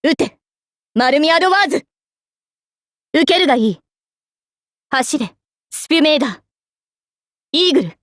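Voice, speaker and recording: Young female knight-mage, anime game, high voice, noble, resolute, formal commanding delivery, dry studio sound. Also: animated, normal speed, pauses often, no room sound. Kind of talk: anime game battle voice lines.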